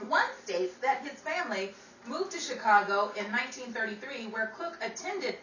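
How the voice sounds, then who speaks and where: A woman's voice: a little high, animated, moderate pace, sharp tone. A woman playing a chatty, wisecracking 1930s radio gossip gal in an old-time radio drama, with theatrical vintage patter. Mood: informative, chatty. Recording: roomy, distant mic with faint noise.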